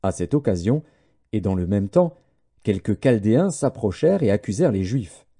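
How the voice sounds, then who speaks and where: A man narrating scripture as an audiobook in a solemn, measured way. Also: on the low side, very animated, mellow.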